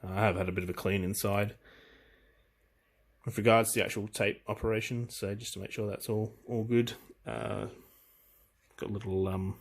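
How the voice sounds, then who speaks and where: Male hobbyist presenter, lowish voice, informal and unscripted, hesitant as he talks through gear. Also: level tone, creaky. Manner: hesitant, halting, thinking aloud while handling the machine. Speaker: hobbyist presenter demonstrating vintage audio gear. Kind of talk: casual unscripted equipment walkthrough.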